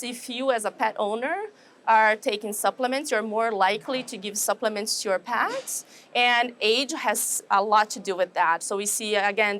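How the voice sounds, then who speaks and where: A woman's voice: mid-range voice, a bit bright, a little hiss or hum. Brazilian woman explaining research findings. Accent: Brazilian Portuguese. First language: Portuguese. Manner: measured, explanatory, frequent fillers and restarts. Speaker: animal science professor, guest. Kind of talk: interview answers. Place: trade show.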